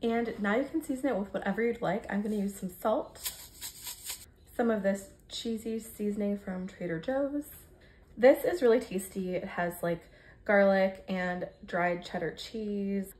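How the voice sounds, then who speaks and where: An American woman in her thirties with a creaky voice, chattily narrating her cooking step by step in a casual, informal way. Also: mid-range voice, moderate pace, a little mellow, a little hiss or hum. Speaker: home cook vlogger. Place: home kitchen, indoors.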